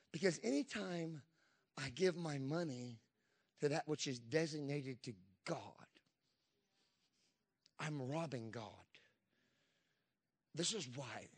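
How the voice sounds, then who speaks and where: A man's voice: fairly high pitch, husky. A man in his fifties preaching a Pentecostal sermon, emphatic, with frequent call-and-response asides to the congregation. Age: 50s.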